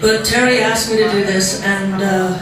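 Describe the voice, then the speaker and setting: A loud woman tosses out dry, sarcastic roast jokes. She is heard from far off in a strongly echoing hall. A big crowd laughs and chatters behind her. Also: mid-range voice, fairly even intonation, moderate pace. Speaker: sister-in-law giving a roast speech. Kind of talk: roast speech. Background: laughing audience.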